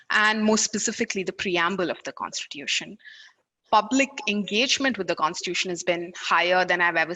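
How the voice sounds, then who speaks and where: A woman's voice: mid-range voice, bright, forceful tone, rough recording. A woman from India, a native Tamil speaker with an Indian accent, introducing a discussion as its moderator.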